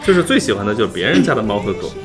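A man's voice: lowish voice, very animated, very fast. A man bantering as an interview guest, teasing and theatrical, tossing out quips in a casual chat. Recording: background music throughout. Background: music.

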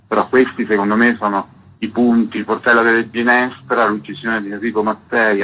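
Bright, low-voiced man in his fifties. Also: a little hiss or hum, rough recording. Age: 50s. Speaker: author and actor, interview guest. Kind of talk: radio interview, explanatory storytelling.

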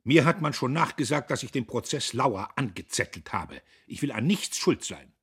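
A man voicing a blustering, pompous and anxious middle-aged factory owner, emphatic and then ingratiating, with a husky voice. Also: mid-range voice, lively intonation, moderate pace, fairly bright tone, pitched above the performer's own voice. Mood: indignant. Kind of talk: audiobook character voice.

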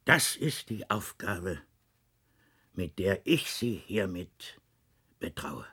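Grave lonely leader of an underground state, slow n husky, formal radio play monologue. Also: male voice, deep voice, big pitch swings, pushed and bright, full character voice. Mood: grave, deliberate. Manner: formal, measured, deliberate, solemn with weighted pauses. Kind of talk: German science fiction radio play, recorded monologue.

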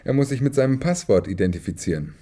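An actor playing a dry, teasing spaceship crewman in a radio drama, deadpan and precise. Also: medium pitch, quick pace, natural voice. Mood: matter-of-fact.

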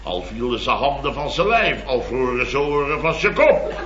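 An actor playing a blustery, boastful middle-aged building contractor in a radio comedy, rambling and exclamatory. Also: male voice, medium pitch, big pitch swings, moderate pace, very noisy, close to an everyday voice. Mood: boastful relish. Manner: rambling, exclamatory, constant tag words. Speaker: comic lead actor. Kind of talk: 1970s radio comedy acting. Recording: dull old radio recording, roomy, audience laughter.

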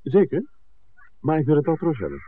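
An actor playing an earnest, persistent visitor looking into village secrets in a 1960s Dutch radio drama, polite and articulate. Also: male voice, fairly high pitch, wildly swooping pitch, fairly quick, a little hiss or hum. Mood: earnest, factual. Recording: thin, phone-like old radio recording.

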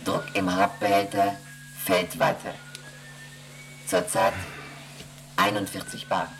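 A man voicing an emotionless onboard computer in a radio play, reading out readings and rules in a flat, mechanical way. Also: sits low, slow pace, music in the background, poor audio. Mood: flat reporting. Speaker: ship's computer.

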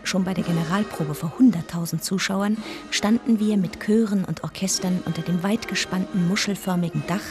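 An actress playing an observant, gently wry woman narrator, telling her travel story in a measured radio-drama style. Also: on the low side, steady tone, close to an everyday voice. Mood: reminiscing. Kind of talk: scripted first-person narration. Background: music.

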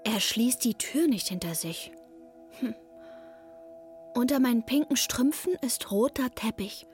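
A young girl narrating a radio play, high voice, simple childlike lines, bit of music behind. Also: expressive, measured pace, a little mellow. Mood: matter-of-fact, childlike. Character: young girl narrating her lonely hotel stay. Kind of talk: first-person radio drama narration in present tense.

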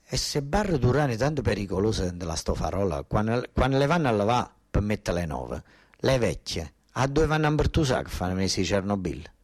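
A man acting out a doting southern Italian village mother from a theatre monologue. She questions anxiously in homely, dialect-tinged speech, pitched low and hushed with worry. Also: very animated, brisk, no echo, studio quality. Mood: puzzled, anxious.

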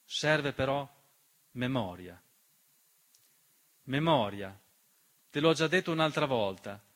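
Bright, forceful man in dramatic monologue. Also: fairly high pitch. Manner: emphatic, rhetorical, with deliberate repetition. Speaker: narrator and writer-performer of a theatre monologue. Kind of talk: dramatic political theatre monologue. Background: piano accompaniment.

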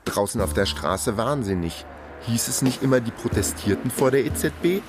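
A man's voice: medium pitch, lively intonation, moderate pace, music in the background, lightly acted. An actor playing a wry investigator who tells his undercover caper dryly, in a crime radio drama. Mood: dry narrating. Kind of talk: first-person crime radio drama narration and dialogue.

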